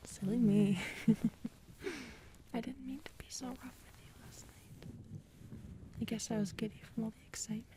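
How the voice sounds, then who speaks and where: A woman playing a big, affectionate alien in an intimate audio roleplay, soft, breathy and playful, talking closely to her partner. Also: a little high, fairly even intonation, unhurried, pauses often, close to an everyday voice, low-quality recording. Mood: intimate, shy. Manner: soft, breathy, playful, often dropping to whispers.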